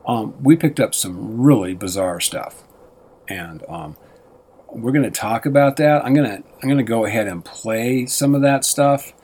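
A man's voice: mid-range voice, normal speed, a little mellow, husky. A man co-hosting a radio show, chatting in a loose, rambling way with lots of fillers and restarts. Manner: meandering, many fillers and restarts. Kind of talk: rambling casual radio chat.

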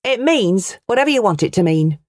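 A woman voicing a proud, sharp-tongued farm mother in her thirties in a storybook. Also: medium pitch, big pitch swings, a little fast, no room sound, clean studio sound, pitched above the performer's own voice, lightly acted. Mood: proud, indignant.

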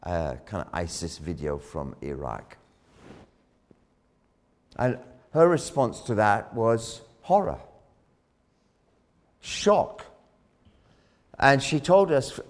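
A man preaching a sermon, telling a story dramatically, rising loud on key words and dropping low again. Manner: dramatic, swells loud on key phrases then drops low.

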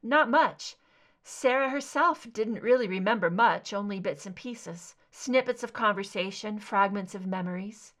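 A woman voicing a skeptical, guarded female investigator in an audiobook, measured and matter-of-fact. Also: medium pitch, fairly bright tone, close to an everyday voice. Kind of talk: fan fiction audiobook dialogue.

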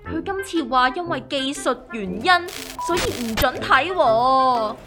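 A woman's voice: high-pitched, animated, measured pace, music in the background. A woman with a Hong Kong Cantonese accent playing a gloomy, aggrieved young worker who whines and complains in a comedic audio drama. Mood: resigned griping. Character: gloomy, aggrieved young woman worker griping about her strict grandpa.

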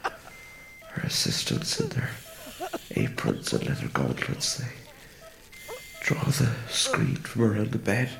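An actor playing a tormented, bitter injured fire officer in a radio drama, with a halting, breathy, gravelly delivery. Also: male voice, mid-range voice, huge pitch swings, echoey room, crowd noise, full character voice, low-quality recording. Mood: wary, dreading. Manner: halting, brooding interior monologue.

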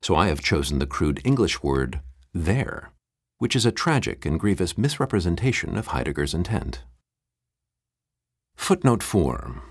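Guy doing a pompous old-school philosophy translator, super deep, pedantic and deliberate, swooping intonation, audiobook bit. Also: very animated, husky, no room sound, clean studio sound, heavily acted. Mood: solemn, pedantic. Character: pompous academic translator reading an absurdly dense philosophy text. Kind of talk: comic mock-scholarly audiobook reading.

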